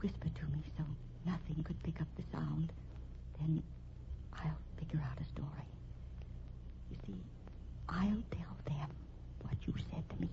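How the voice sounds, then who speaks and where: Casting: scheming, defensive wife with a deadly secret, theatrical fifties radio style, dull noisy recording. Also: female voice, deep voice, unhurried, pauses often. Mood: hushed, conspiratorial. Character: scheming, defensive married murderess in a mystery. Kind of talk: 1950s radio drama acting.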